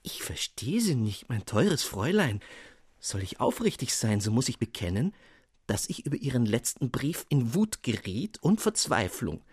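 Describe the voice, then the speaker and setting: A man's voice: mid-range voice, sing-song, moderate pace, a little mellow, husky, no room sound, clean studio sound. A man voicing a vain young student poet who speaks in florid, self-admiring phrases. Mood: effusive.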